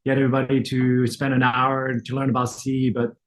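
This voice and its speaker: A middle-aged man from France, with no trace of a French accent, giving a relaxed online lecture, full of fillers and restarts. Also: medium pitch, a little fast. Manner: frequent fillers and self-restarts, conversational. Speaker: optometrist lecturer. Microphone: online webinar.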